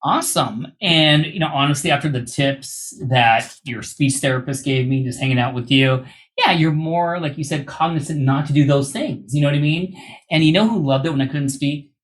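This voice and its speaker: A man's voice: on the high side, normal speed, fairly bright tone. A man hosting a podcast in casual, informal interview talk, recovering from vocal surgery. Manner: informal, chatty, joking. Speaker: podcast host.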